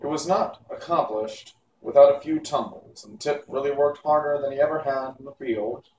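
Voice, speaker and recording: A man narrates a children's novel in a medium-pitched voice. His delivery is that of an unpolished amateur reader. He sounds set back from the mic in an echoey room, with faint noise behind him. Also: fairly even intonation, normal speed, far from the mic. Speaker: storybook reader. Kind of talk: reading a children's novel aloud.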